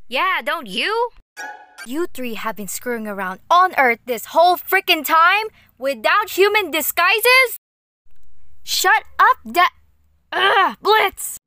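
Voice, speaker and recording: A woman's voice: extremely high pitch, lively intonation, slow pace, bright, forceful tone, dry recording, clean studio sound, full character voice. A woman voicing a surly, sarcastic young female hellhound in amateur cartoon style, with a snappish manner. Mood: irritated. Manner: snappish, sardonic.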